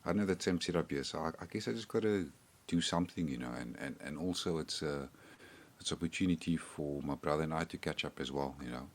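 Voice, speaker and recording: Very deep, husky South African man. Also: fast talker. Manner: rambling, repeats words. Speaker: podcast guest and co-host. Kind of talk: casual podcast chat. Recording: remote call.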